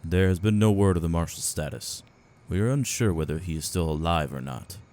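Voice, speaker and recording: Male actor as weary military spymaster, deep voice, measured, calculating, guarded, fantasy audio drama. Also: expressive, a little mellow. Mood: grave, resigned.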